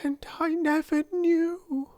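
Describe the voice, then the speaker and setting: A woman voicing a timid, slow, kind-hearted young girl who speaks in a halting, awe-struck way. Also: medium pitch, animated, a little mellow, no room sound, clean studio sound, pitched above the performer's own voice. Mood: earnest.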